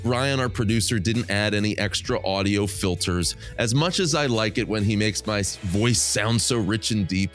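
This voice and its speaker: A male podcast host, getting over a cold that drops his voice lower than usual, giving an upbeat scripted welcome and interview intro. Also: moderate pace, few pauses, soft background music. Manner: upbeat, promotional, warmly welcoming.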